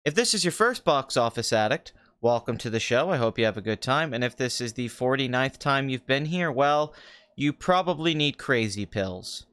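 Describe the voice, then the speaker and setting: Male podcast host for casual live chat: very animated pitch, clean tone with a touch of morning roughness, crude joking, loose rambling delivery. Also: normal speed. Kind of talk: free-form casual live stream chat.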